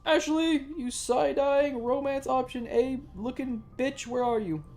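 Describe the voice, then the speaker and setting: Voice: high-pitched, measured pace, a little mellow. A live streamer giving casual game commentary in a playfully bratty, sweary manner. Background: faint game music.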